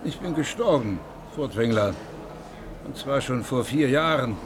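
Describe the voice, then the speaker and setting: A man playing a gruff ghostly old surgeon. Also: mid-range voice, expressive, measured pace, crowd noise, close to an everyday voice. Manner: blunt, gruff. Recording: noisy background ambience.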